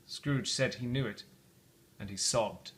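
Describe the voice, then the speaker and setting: A man narrating a story aloud in a theatrical, expressive read-aloud style. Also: mid-range voice, a little slow, slight background noise. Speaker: storybook reader.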